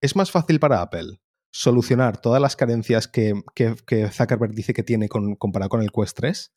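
A man's voice: medium pitch, moderate pace, darkish tone. Opinionated man, stammering. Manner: opinionated, stammers on repeated words. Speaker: podcast guest. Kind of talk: casual tech podcast chat.

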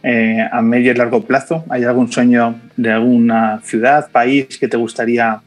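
A man hosts an interview program and asks long, measured questions at an even middle pitch, pausing on small fillers as he shapes each one. Soft music plays faintly behind his voice. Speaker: radio program host, interviewer.

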